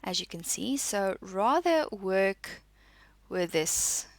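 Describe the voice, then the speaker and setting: Woman, teacher, unhurried, animated intonation, instructive, deliberate short statements, close dry sound. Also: medium pitch, no echo. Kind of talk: spoken lesson, reading out and explaining.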